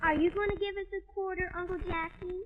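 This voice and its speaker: A woman's voice: extremely high pitch, steady tone, quick pace. A woman playing a blunt, cheeky little American girl in a 1940s radio comedy. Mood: cheeky, demanding. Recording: noisy, muffled 1940s radio recording.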